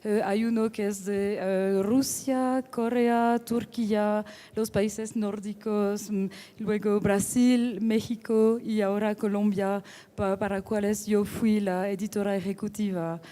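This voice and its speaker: A woman's voice: medium pitch, fairly even intonation, slow pace, warm tone. A woman with a French accent speaking Spanish as a second language, presenting a book as its editor with frequent hesitations and restarts. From France. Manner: hesitant, many fillers and restarts.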